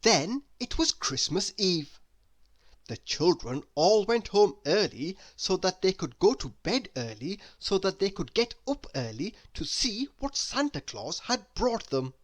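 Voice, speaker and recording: A man reading a children's story aloud in a deliberate, expressive way, leaning on key words. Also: high-pitched. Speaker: storybook reader.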